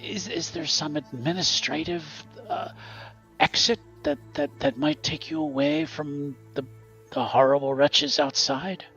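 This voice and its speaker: A man's voice: a little high, measured pace, fairly bright tone, highs cut off, soft background music, voice pushed up. Man acting a fretful, stammering, deferential newcomer. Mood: anxious, fawning. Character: nervous, stammering, deferential male newcomer flattering his superior.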